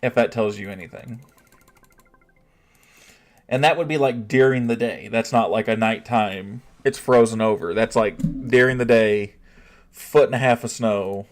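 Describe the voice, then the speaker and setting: A man's voice: lowish voice, measured pace. Guy streaming and chatting with viewers, casual and rambly, keeps restarting sentences. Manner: chatty, reads chat aloud, often restarts and thinks out loud. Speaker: streamer answering live chat. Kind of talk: casual livestream chat.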